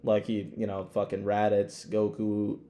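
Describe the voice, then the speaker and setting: Mellow man in casual podcast chat, roomy sound. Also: on the low side, completely flat delivery, measured pace. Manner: informal, hesitant phrasing peppered with "like". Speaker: podcast co-host. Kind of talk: casual chat with slang and swearing.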